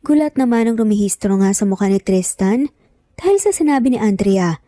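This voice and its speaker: A woman's voice: mid-range voice, animated, a little fast, warm tone, dry recording. A woman reading scripted narration for a dramatic romance story.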